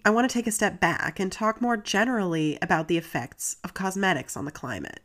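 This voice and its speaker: A woman's voice: mid-range voice, a bit bright. A woman with a stuffy, congested voice from a cold, hosting a solo podcast in a chatty, earnest way, with asides between facts. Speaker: podcast host.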